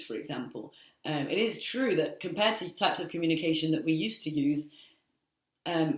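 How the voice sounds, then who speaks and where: A woman in her thirties from England, giving a chatty, reflective spoken monologue with frequent ums and restarts. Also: low voice. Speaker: speaker giving a practice speech. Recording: echoey room.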